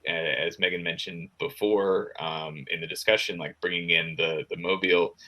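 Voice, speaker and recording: A man speaking as a guest author in casual talk about writing, thinking aloud in halting, broken phrases. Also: low voice, steady tone, sharp tone. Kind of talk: casual discussion of writing craft.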